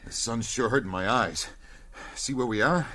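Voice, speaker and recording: An actor playing a brawny, excitable adventurer sidekick with a gravelly voice and slangy, exclamatory talk, in an old-time radio serial style. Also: male voice, medium pitch, normal speed. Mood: amazed.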